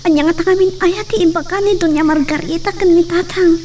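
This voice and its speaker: A woman's voice: extremely high pitch, fairly even intonation, fairly quick, mellow. A woman voicing an anxious young daughter in a radio drama, speaking in an anxious, tearful manner. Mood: worried. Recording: distant mic with faint noise.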